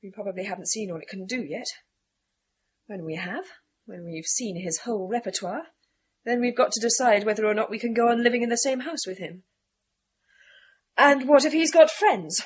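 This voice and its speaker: An actress playing a spirited, frank daughter in a radio play about a haunted house, with a wry, expressive delivery. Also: female voice, fairly high pitch, moderate pace, pauses often, muffled recording, a little hiss or hum, lightly acted. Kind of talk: radio play dialogue and narration.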